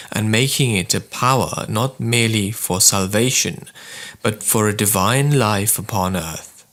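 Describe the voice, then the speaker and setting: A man narrates a prepared text in a fairly low voice with plenty of gravelly creak. His delivery is formal and measured, with deliberate phrasing, like an audiobook of spiritual writings. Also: a bit bright. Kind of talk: scripted narration, audiobook-style reading of spiritual texts.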